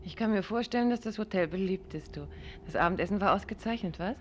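A woman's voice: medium pitch, fast, close to an everyday voice. A woman playing a detective's thoughtful, lightly ironic wife in a 1950s German radio play, conversational and musing. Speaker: radio drama actor. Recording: noisy 1950s radio recording.

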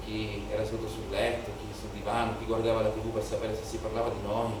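A man's voice: lowish voice, completely flat delivery, fast, close to an everyday voice. A male stage actor playing an impassioned, haunted young protester in a declamatory theatrical monologue, with incantatory repetition. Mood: subdued, confiding. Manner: declamatory, incantatory repetition, swelling from hushed to shouted. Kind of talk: theatrical dramatic monologue in Italian political theatre. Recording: very echoey theatre, distant mic, noisy.

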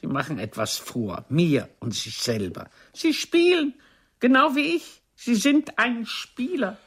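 A husky, haughty theatrical grande dame. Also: female voice, on the high side, big pitch swings, measured pace, full character voice. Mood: mocking, scornful. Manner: theatrical, disdainful, savoring each word. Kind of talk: radio play acting.

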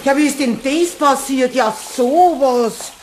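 A woman's voice: high voice, measured pace, slight background noise, poor audio. A woman playing a flustered, grumbling cleaning woman with a Bavarian accent in a German radio play, exclaiming and muttering. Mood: dismayed.

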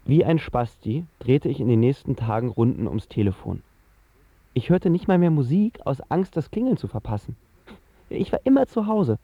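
A man's voice: mid-range voice, very animated, normal speed, husky, dry recording, lo-fi sound. An actor playing a lovestruck, self-doubting seventeen-year-old boy who tells his story in casual slang for a radio drama. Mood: brooding, restless. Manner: slangy, colloquial. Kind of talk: scripted first-person radio drama narration.

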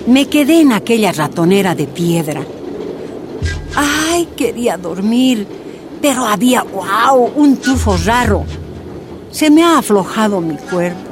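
A woman's voice: a little high, very animated, unhurried. An actress with a Bolivian accent playing a weary, resolute miner's wife telling her story in a radio drama. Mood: pained, weary. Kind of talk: dramatized first-person radio narration. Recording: music behind the voice.

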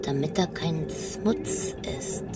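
An actress playing an evasive female cleaner in a radio crime drama, quiet and hesitant. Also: low voice, slow pace. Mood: subdued, evasive. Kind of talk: modern German radio crime drama acting. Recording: distant voice under loud music.

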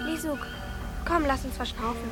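A woman's voice: high voice, moderate pace, loud music behind the voice, close to an everyday voice. A woman playing an earnest, protective young peasant girl with a hot temper in a radio drama. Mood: tender. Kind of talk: German radio drama acting.

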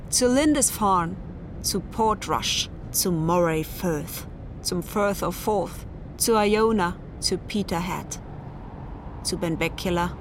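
Female drama narrator over clear background noise. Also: lowish voice. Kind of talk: scripted literary narration.

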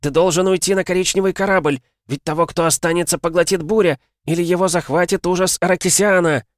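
Male narrator as commanding old woman sea captain, raised pitch, urgent shouts, audiobook drama, dry sound. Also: fairly even intonation, fast, no echo, lightly acted. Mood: fierce, commanding. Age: older.

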